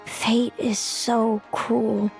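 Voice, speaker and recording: A woman playing a somber, fatalistic young seer in an anime-style game, speaking in a measured, melancholy way. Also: fairly high pitch, flat delivery, creaky, darkish tone, soft background music, full character voice. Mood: brooding.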